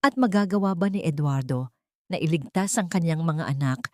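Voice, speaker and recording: Female audiobook narrator for serial drama: lowish register, scripted dramatic storytelling, no room sound. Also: normal speed, no room sound.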